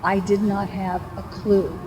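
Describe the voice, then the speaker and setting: A woman's voice: medium pitch, level tone, a little slow. Woman telling her own story in an interview, mid voice, car noise in back. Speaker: cancer survivor interviewee. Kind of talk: personal account in an interview. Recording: clear vehicle noise behind.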